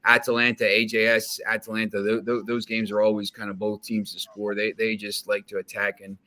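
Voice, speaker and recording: A man talks through soccer picks in a loose, rambling way. He repeats words and fills the gaps with "you know" and "like". His voice sits a little low but sounds bright and forward. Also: completely flat delivery, fairly quick. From New Jersey, United States. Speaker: soccer betting guest. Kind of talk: casual betting chat.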